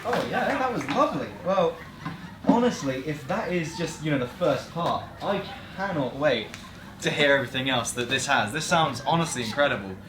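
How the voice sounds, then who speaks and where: Higher-voiced man hosting in a noisy room. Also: expressive, normal speed. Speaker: interview host. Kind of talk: casual chatty presenting to a group. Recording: roomy, noisy set with people chattering.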